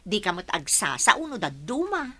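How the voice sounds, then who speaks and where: A woman's voice: mid-range voice, very animated, normal speed, sharp tone. An actress playing a teasing, gossipy village woman in an Ilocano radio drama, with an Ilocano accent. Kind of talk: Filipino radio drama acting.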